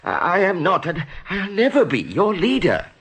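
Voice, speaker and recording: An actor playing a weary, dignified older rabbit officer in a radio play, formal and earnest, with some stammering. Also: male voice, high-pitched, huge pitch swings, normal speed, fairly bright tone, breathy edge, full character voice. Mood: flustered, weary.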